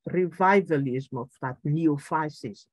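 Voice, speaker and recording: Woman from Angola, Portuguese mother tongue, middle-aged political speaker, halting delivery, dry close sound. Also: low-pitched, no room sound. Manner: halting, searching for words, repeating phrases. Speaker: political party speaker at a conference. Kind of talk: political talk by video call. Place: room at home. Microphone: video call.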